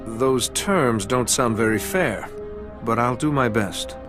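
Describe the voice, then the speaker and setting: A man's voice: medium pitch, music in the background, close to an everyday voice. Man doing an earnest patient jedi in a game, middle voice, long reverb. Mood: calm. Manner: calm, measured, polite. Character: calm male Jedi hero, earnest and patient.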